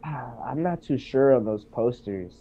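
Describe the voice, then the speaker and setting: A congested man speaks hesitantly over a video call, stopping often with ums. His voice comes through thin and tinny, like a phone line, at a middle pitch. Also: expressive, unhurried. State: congested from being sick. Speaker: guest. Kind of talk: casual chat on a call.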